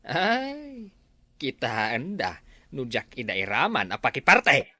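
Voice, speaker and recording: A man's voice: mid-range voice, wildly swooping pitch, takes it slowly, pushed and bright, dry recording, clean studio sound, full character voice. A man with an Ilocano accent playing a scheming village rascal in an amateur comedy drama. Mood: wary.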